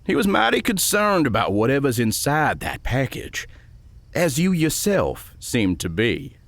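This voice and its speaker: An actor playing a nervous, mannerly old banker with a folksy, hesitant way of talking in a western audiobook. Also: male voice, medium pitch, very animated, measured pace, vocal fry, fairly bright tone, no echo, exaggerated voice. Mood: wary, explaining. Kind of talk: western audiobook character acting.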